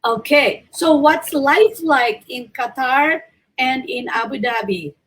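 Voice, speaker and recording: A Filipino woman hosts an online chat show in a high voice. She talks slowly and politely, drawing her guest out. She moves easily between Tagalog and English. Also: fairly bright tone, breathy edge, poor audio. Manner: courteous, deliberate, inviting. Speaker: talk show host. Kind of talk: hosting an online interview, mixing Tagalog and English.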